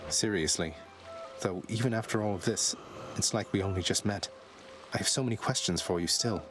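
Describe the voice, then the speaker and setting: An actor voicing a defiant, earnest young prince of the underworld in a video game, brash and full of questions. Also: male voice, on the low side, fairly quick, lightly acted. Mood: wondering. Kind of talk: video game character acting. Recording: loud music over the voice.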